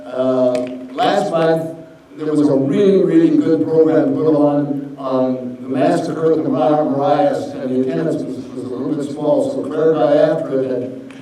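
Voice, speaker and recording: An older man from Montana giving a rambling, folksy slide-show history talk, a little hoarse from a cold. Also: on the high side, fairly even intonation, measured pace, dark tone, slight background noise. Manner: folksy, rambling, many fillers. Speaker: local history presenter. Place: lecture hall. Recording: echoey hall, from far off.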